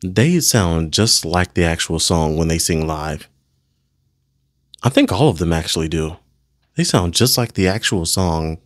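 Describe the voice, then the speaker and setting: Male reaction host, low voice, lively intonation, casual slangy gushing delivery. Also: a little slow, no echo, studio quality. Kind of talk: casual excited reaction commentary.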